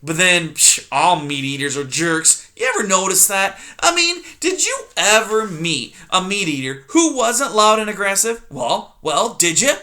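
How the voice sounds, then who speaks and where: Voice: mid-range voice, big pitch swings, a little slow, hardly any pauses, sharp tone, voice pushed up. Reader doing a grouchy old porcupine hermit, barking insults with a swooping voice. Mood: scornful. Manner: scornful, bellowing, insult-spitting. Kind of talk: storybook reading.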